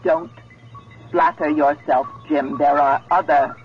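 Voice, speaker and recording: A sinister thinking machine in vintage radio drama. It speaks very slowly in a fairly high male voice, pressing its point with calm menace and deliberate breaks. The sound is dull and old. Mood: menacing. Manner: slow, halting, deliberate phrasing. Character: sinister talking computer, calmly menacing and insistent. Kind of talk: 1970s radio drama acting. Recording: dull vintage radio recording, faint music.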